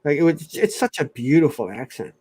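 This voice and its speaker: A man's voice: a little high, big pitch swings, fairly quick, a little mellow, breathy edge. Man w/ south african canadian accent, chatty livestream host, bouncy voice. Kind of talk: casual chat.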